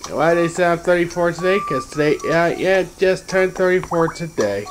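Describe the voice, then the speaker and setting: A man's voice: a little high, moderate pace. A man in his thirties chatting casually while playing a handheld game, easily touched and thankful. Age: 30s. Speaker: game streamer. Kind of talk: casual chat over gameplay. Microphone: phone or camera filming a handheld console. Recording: game music playing loudly behind.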